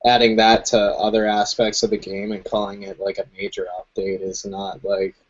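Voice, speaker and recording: A man's voice: fairly low pitch, monotone, moderate pace. A man hosting a casual gaming chat, chatty and easygoing, laughing often between his thoughts. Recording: dull lo-fi stream audio with noise.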